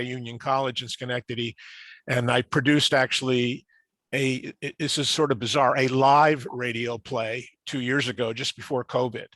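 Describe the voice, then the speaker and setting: An older man from upstate New York speaking on a video call in a halting, wordy, formal and courteous way. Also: medium pitch, fairly bright tone, dry recording. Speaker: club member asking a question. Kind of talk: conversational remarks on a video call.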